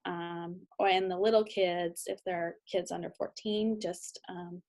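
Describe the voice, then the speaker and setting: American woman giving a halting training talk. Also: fairly low pitch, fairly even intonation, a little mellow. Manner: hesitant, halting, with frequent pauses and ums. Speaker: immigration lawyer giving training. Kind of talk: instructional slide presentation, semi-scripted.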